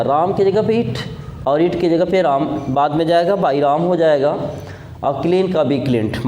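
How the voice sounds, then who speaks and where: An Indian-accented man gives a classroom-style grammar lesson in a fairly high voice. He explains step by step and repeats his key points, mixing in English terms. The room is very echoey, with some noise behind him. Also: dark tone.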